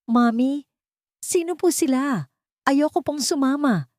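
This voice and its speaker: A woman's voice: high-pitched, slow, a little mellow, no echo, clean studio sound, pitched above the performer's own voice. A woman voicing a frightened little girl crying for her mother in a dramatic audio story. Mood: tearful, scared.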